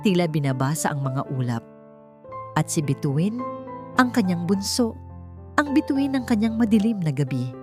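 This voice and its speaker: A woman narrating a dramatic scripted love story in a steady, emotive storytelling manner. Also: fairly low pitch.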